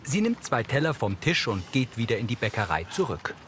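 A man's voice: medium pitch, sing-song, fairly quick, a bit bright, slight background noise. A man reading scripted audio description, narrating in the present tense what happens on screen. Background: music.

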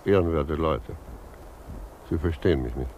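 A very low-voiced old man, wistful and fatherly, speaks with slow, earnest reflection. It is a 1950s radio drama with faint background noise. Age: older. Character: gentle, philosophical old widowed judge, wistful and fatherly. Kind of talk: 1950s German radio play acting.

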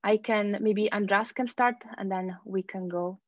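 Woman moderator on a dry, dull-sounding video call, polite, halting. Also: medium pitch, fairly even intonation, no echo. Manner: polite, slightly halting, with fillers. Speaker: assistant program director, moderator. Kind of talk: moderating a panel.

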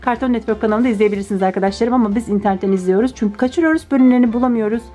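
A woman's voice: fairly high pitch, racing, mellow, soft background music. A woman chatting casually to viewers as she hosts a toy unboxing video, addressing them as friends. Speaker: toy unboxing video host, a mother with her child. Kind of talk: casual chat to viewers while unboxing toys.

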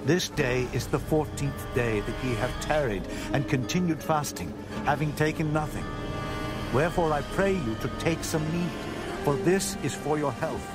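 A man's voice: a little high, moderate pace, loud music behind the voice, natural voice. A man playing a steadfast apostle in a dramatized scripture reading, earnest and declamatory as he exhorts frightened shipmates. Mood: urgent, exhorting.

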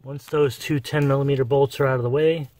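A man's voice: mid-range voice, steady tone, noisy background. A man giving a casual step-by-step car repair demonstration, talking plainly while he works under a dashboard. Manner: plain, unhurried, talks while working with tools. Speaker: car repair how-to presenter.

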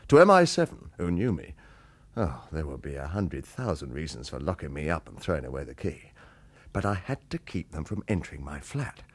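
A man's voice: a bass voice, very animated, brisk, breathy edge, heavily acted. An actor playing a wry, world-weary British retired hitman who also narrates a radio crime drama. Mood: tense, dry. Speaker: lead actor and first-person narrator.

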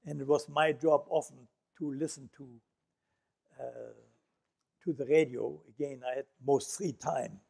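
An older man with a Dutch accent gives a reflective, storytelling lecture from an auditorium stage, pausing often on fillers. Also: mid-range voice, steady tone, vocal fry, dark tone. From Netherlands. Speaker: Holocaust survivor speaker.

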